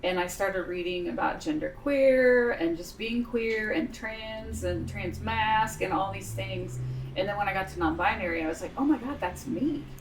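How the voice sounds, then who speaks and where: Low-voiced speaker in their 50s, gravelly, reflective, mic a bit far in a roomy space. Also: female voice, normal speed, a little hiss or hum. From Missouri, USA. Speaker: interviewee. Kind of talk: documentary interview.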